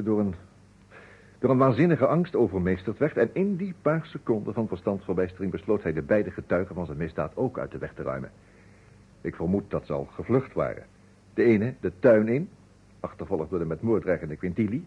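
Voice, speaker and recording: An actor in an old Dutch radio play playing a shrewd detective who lays out his case in a measured, commanding way. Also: lowish voice, breathy edge, exaggerated voice. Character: shrewd male amateur detective laying out a murder case. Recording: dull old radio recording.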